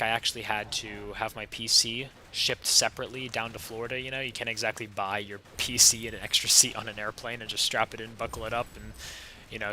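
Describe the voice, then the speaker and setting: Young male gaming host, under the weather, raspy edge, sharp bright tone, low-ish voice, faint hiss behind. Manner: casual, laid-back, chatty with frequent fillers and short laughs. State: sick, slightly raspy voice. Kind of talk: casual gameplay commentary.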